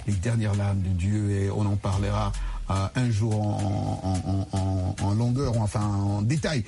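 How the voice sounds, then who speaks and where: A man's voice: lowish voice, fairly even intonation, unhurried, creaky, mellow, faint music behind. A man from Congo, a journalist hosting an interview, who stammers and repeats words. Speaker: host, journalist. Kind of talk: interviewing a guest.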